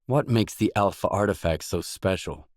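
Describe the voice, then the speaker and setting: An actor playing a wary, world-weary stalker, the blunt protagonist of a video game, in terse acted dialogue. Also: male voice, deep voice, normal speed, darkish tone, dry recording, studio quality. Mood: probing, wary.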